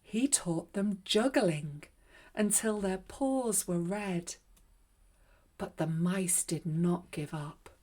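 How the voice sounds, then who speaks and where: A woman narrating a read-aloud story for children in a gentle, unhurried, expressive way. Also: fairly low pitch. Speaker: children's story reader and host.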